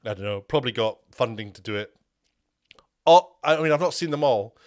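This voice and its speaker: A man's voice: mid-range voice, expressive, fairly quick, darkish tone. A man delivering a ranting commentary video, indignant and full of restarts and repeated words. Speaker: media commentator.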